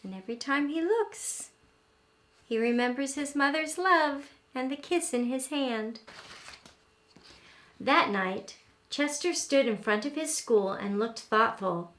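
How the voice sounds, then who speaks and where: A woman narrates a picture book for young children. Her voice is on the high side and her pace is slow. She is expressive and instructive, like someone leading an early-learning lesson. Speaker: read-aloud host teaching young children. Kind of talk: scripted storybook narration with questions and explanations.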